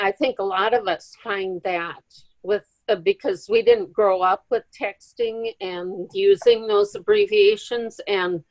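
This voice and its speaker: A woman speaks slowly and reflectively in a casual discussion. Her voice sits at a middle pitch and is recorded very dry. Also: no room sound, rough recording.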